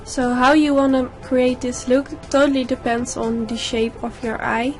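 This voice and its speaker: A young woman with a slightly hoarse voice from a sore throat, calmly explaining each step of a makeup tutorial in a voice-over. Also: high voice, moderate pace, soft background music. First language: Dutch. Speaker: makeup YouTuber.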